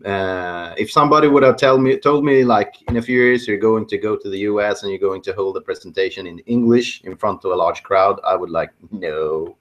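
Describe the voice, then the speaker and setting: Swedish-accented man, low voice, relaxed guest chat, hesitant phrasing. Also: fairly quick, hardly any pauses, muffled recording. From Sweden. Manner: searches for words, hesitant. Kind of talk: casual chat.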